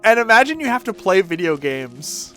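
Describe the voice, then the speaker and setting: Animated, high-pitched man chatting on stream. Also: moderate pace, soft background music. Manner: rambling, profane. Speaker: video game streamer. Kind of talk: casual livestream chat over gameplay.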